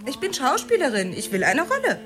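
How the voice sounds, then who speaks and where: Bold young femme fatale, higher-pitched, cool, taunting, commanding, radio drama, faint music bed. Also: female voice, wildly swooping pitch, fairly quick. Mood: demanding. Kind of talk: naturalistic German radio drama dialogue. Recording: faint music under the dialogue.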